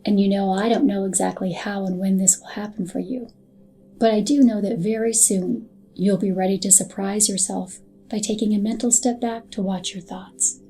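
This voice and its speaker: A woman narrating a scripted hypnotic guided meditation in a soothing, soft and unhurried manner. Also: medium pitch, fairly even intonation, low-quality recording. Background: background music.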